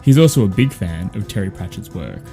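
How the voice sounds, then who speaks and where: A man's voice: sits low, very animated, brisk, gravelly, mellow, soft background music. An Australian man hosting a podcast, reading a scripted outro with credits.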